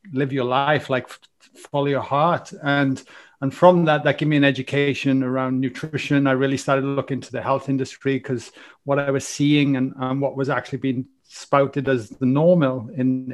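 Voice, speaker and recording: A Welsh man in his forties talks through his life story as a podcast guest. His voice sits at an ordinary middle pitch, and he rambles along, restarting phrases as he goes. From Wales. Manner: animated, rambling, many restarts. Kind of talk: casual storytelling interview.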